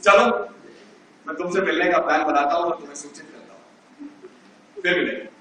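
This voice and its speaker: A man's voice: fairly high pitch, fairly quick, bright, forceful tone, very echoey. An older man on an amateur stage reading letters to an old friend aloud, jovial and teasing, heard from far off in a large auditorium. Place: large auditorium, no sound system.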